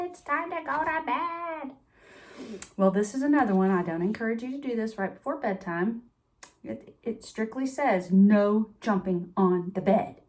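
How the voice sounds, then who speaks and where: A woman's voice: medium pitch, dark tone. A woman storyteller reading a children's picture book aloud at bedtime, expressively, with chatty asides.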